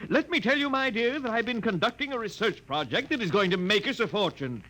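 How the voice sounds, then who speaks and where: Boastful husband, swooping and forceful, declaiming, vintage radio drama. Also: male voice, high voice, expressive, fairly quick. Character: boastful husband bragging as an amateur inventor. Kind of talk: 1950s radio drama acting.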